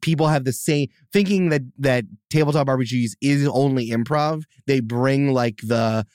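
A man's voice: medium pitch, moderate pace, a little mellow, clean tone, no room sound, clean studio sound. Chatty man explaining tabletop games. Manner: explanatory, chatty, many fillers. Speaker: game master, podcast guest. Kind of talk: casual chat.

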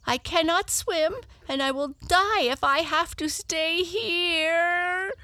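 A tearful, petulant little girl from a storybook, played by a woman. Her voice sits high and whines, with a bright, piercing edge and lots of swoop. The recording is dry. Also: slow, no room sound, exaggerated voice. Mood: tearful, despairing. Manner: whiny, petulant. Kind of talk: children's storybook character voice.

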